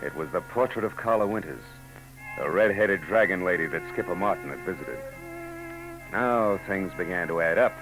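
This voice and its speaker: An actor playing a hard-boiled, wry American private detective who narrates in terse slang in a 1940s radio noir drama. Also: male voice, deep voice, animated, normal speed, low-quality recording. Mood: wry, brisk. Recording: old 1940s radio recording, music behind.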